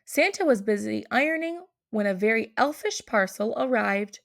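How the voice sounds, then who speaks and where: A woman's voice: a little high, lively intonation, normal speed, vocal fry. A woman narrating a picture book aloud for children. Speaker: storybook reader.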